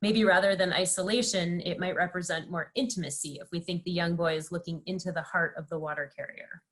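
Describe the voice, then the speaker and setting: Low-voiced woman moderator. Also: brisk. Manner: calm, reading out audience questions.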